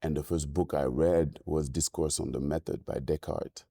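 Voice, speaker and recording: A man from Senegal with a Senegalese French accent, a native French speaker, talking reflectively as an interview guest, halting with many ums and restarts. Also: extremely low pitch, fairly even intonation, warm tone, breathy edge, no echo, studio quality.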